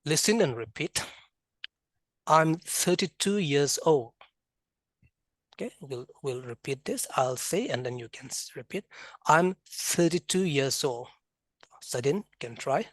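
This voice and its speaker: A man with a Karbi accent teaching an English class, drilling words and sounds slowly and having students repeat. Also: a little high, expressive, dry recording. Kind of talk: classroom lesson, mixing local language and English.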